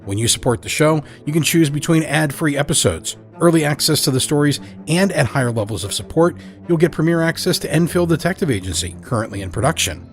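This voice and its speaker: A man's voice: fairly high pitch, animated, a little fast, few pauses, soft background music. Man hosting a scripted podcast. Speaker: podcast host. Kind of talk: scripted podcast introduction and announcements.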